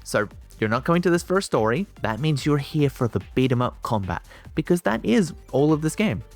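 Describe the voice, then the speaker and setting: A man's voice: on the high side, sing-song, fairly quick. A man reading a scripted video game review as a voice-over, with colloquial, wry and opinionated phrasing. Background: music behind the voice.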